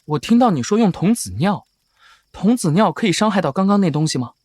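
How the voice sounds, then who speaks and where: A man's voice: high-pitched, lively intonation, a little fast, a little mellow, dry recording, clean studio sound. An actor playing a young male cultivator in an audio drama, an earnest and wary traveller who talks in a probing, questioning way. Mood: curious.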